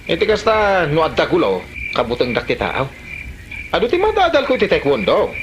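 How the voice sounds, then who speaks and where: A man's voice: on the high side, huge pitch swings, fairly quick, background noise. A man playing a brash, hot-headed grown son who boasts and threatens, in an Ilocano Filipino comedy drama, with an Ilocano accent. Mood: boastful.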